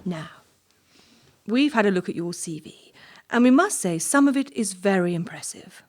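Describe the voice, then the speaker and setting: An actress playing a clinical, pedantic female job interviewer in a stage play, with a clipped, probing and deliberate manner. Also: mid-range voice, animated, natural voice. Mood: brisk, clinical.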